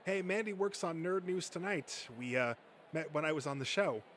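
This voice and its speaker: A man's voice: on the high side, expressive, normal speed, fairly bright tone, voice pushed up. A man voicing an anxious, conciliatory young nerd and boyfriend in a comic dub, with slight noise in the recording. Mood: nervous. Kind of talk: comic dub character acting.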